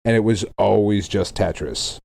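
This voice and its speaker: Low-voiced American man, rambling casual podcast talk. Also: normal speed, gravelly, a little mellow. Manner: rambling, jokey, profane. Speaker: podcast co-host. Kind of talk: casual banter.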